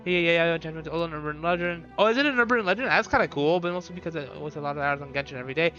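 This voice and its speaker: Man, live game streamer, higher-pitched and very bright, repeats himself, slightly thin sound over faint music. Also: fast talker, lo-fi sound. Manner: repeats short phrases, thinks aloud. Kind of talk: casual live stream commentary, chatting with viewers.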